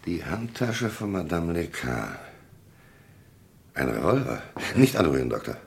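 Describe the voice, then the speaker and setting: An actor in a vintage crime radio play playing a stern police commissioner who questions curtly and insistently. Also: male voice, low-pitched, very animated, a little slow, creaky, a little hiss or hum, heavily acted. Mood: probing, methodical. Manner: curt, insistent interrogator. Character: stern, probing French police commissioner, a man.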